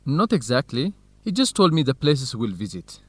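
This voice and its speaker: Radio drama role: modest, helpful Ethiopian male research assistant, medium pitch, earnest delivery, very dry recording. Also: very animated, normal speed, slightly rough, dry recording. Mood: practical, helpful. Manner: polite, earnest. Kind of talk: radio drama acting, measured educational dialogue.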